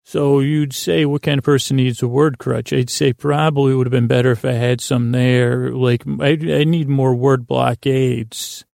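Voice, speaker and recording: Creaky-voiced man rambling haltingly. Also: medium pitch, steady tone, darkish tone, no room sound, studio quality. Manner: halting, digressive, with long pauses and repeated words; silly, absent-minded. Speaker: podcast host. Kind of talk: rambling whimsical monologue meant to distract listeners.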